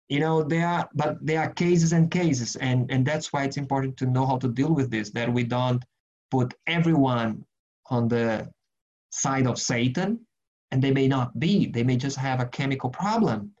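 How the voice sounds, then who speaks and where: A man's voice: medium pitch, normal speed, darkish tone, muffled recording. A man, leading an online Bible study, speaking earnestly with many restarts, then praying. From Brazil. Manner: earnest, rambling, frequent restarts and fillers. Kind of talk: casual teaching and prayer in an online group session.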